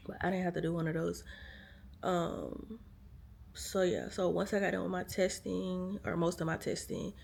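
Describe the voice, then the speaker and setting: Woman, very gravelly voice, casual filler-heavy storytelling, faint background hiss. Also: on the low side, a little mellow. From United States. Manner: chatty, lots of fillers, speaks to viewers as y'all. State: tired. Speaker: vlogger. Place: hospital room.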